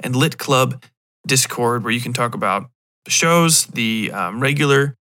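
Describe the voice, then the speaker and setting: A man with a bright, forward voice hosts a podcast alone. He talks casually and rambles, drifting into digressions with a self-deprecating touch. His pitch sits in the middle for a man. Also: measured pace. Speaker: podcast host.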